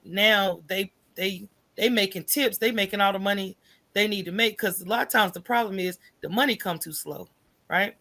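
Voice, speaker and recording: A woman's voice: mid-range voice, normal speed, sharp tone. Casual American woman chatting as guest. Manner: informal, colloquial, checks in with "right?" and "you know". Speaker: podcast guest, coffee business owner. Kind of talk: casual conversational interview answers.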